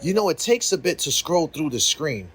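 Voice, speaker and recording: Husky, animated young hero played by a man. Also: on the high side, moderate pace, full character voice. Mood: irritated. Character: irritable young male hero on a battle team. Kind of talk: audio story character acting.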